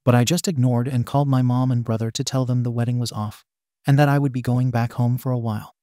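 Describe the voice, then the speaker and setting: A man narrating online posts aloud in an even, steady reading voice. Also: medium pitch, fast, no room sound, studio quality. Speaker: story narrator.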